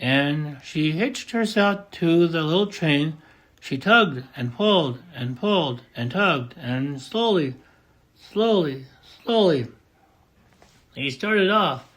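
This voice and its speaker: A man reading a children's picture book aloud as an amateur audiobook, slow and deliberate. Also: on the high side, lively intonation, fairly bright tone, poor audio. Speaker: audiobook reader.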